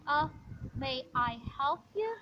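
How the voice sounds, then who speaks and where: A woman's voice: high-pitched, animated, takes it slowly, no room sound. A woman playing a polite, unruffled telephone operator in an amateur radio play, formally courteous, on a dull, slightly noisy recording.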